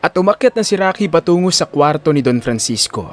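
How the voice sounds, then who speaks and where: A man's voice: on the high side, lively intonation, fairly quick, soft background music. A man narrating a scripted audio drama in a dramatic storytelling style.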